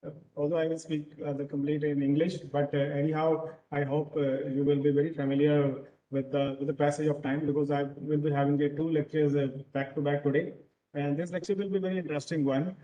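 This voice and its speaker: A man from Punjab with a Punjabi accent, giving an online lecture over a video call and pausing often with fillers. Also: on the high side, flat delivery, mellow, dull audio. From Punjab, India. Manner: hesitant, many filler pauses. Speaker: guest lecturer.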